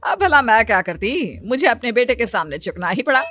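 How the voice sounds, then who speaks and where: A woman's voice: a little high, sing-song, a little fast, fairly bright tone, soft background music. A woman playing a stern, scheming middle-aged mother-in-law who commands and threatens in a cartoon story. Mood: disgruntled. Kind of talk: Hindi cartoon story acting.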